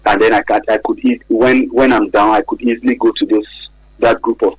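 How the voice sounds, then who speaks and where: A man with a Nigerian accent and a husky voice, giving explanatory advice as a radio interview guest, pausing hesitantly and often checking with "right?". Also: fairly low pitch, fairly even intonation, poor audio. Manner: hesitant, frequent pauses and repeated "right?" tags. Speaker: guest expert from an advocacy organization. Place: radio studio.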